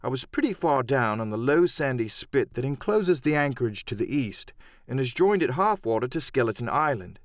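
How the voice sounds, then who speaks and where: Expressive male audiobook narrator, dry sound. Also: mid-range voice, moderate pace, dry recording. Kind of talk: dramatic scripted first-person story narration.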